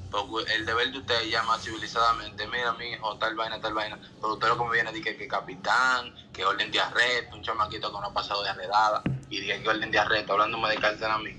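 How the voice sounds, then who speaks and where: A man's voice: fairly low pitch, flat delivery, quick pace, hardly any pauses, a crowd in the background. A man with a Dominican accent arguing defensively over a phone on speaker, repeating himself in short bursts. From Dominican Republic. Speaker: prank call victim. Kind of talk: argumentative phone conversation. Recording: noisy, harsh phone call over speaker.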